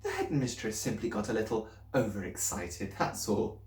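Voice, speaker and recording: A man doing a kind, gentle schoolteacher. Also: lowish voice, wildly swooping pitch, moderate pace, slight background noise. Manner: gentle, patient, softly questioning. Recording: roomy, distant mic.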